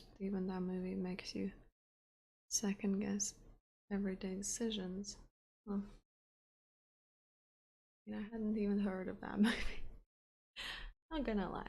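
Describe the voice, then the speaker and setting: A woman's voice: mid-range voice, gravelly, darkish tone. A woman with a Latvian accent chatting casually, answering messages aloud with a wry manner.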